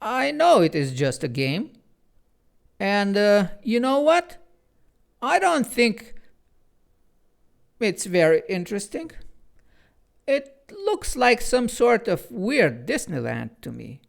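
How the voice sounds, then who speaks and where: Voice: medium pitch, sing-song, extremely slow, vocal fry, pitched above the performer's own voice, full character voice. A storyteller voicing a bold, feisty young girl.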